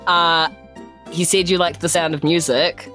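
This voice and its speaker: A woman's voice: on the low side, fairly even intonation, a little slow, a bit bright, soft background music. A woman making wry, teasing remarks in casual podcast banter. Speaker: podcast player and co-host.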